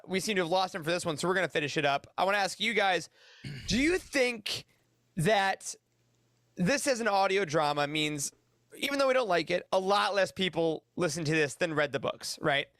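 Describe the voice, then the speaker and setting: Guy hosting a casual podcast roundtable, pretty high bright voice, really dry sound. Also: no room sound. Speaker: podcast host.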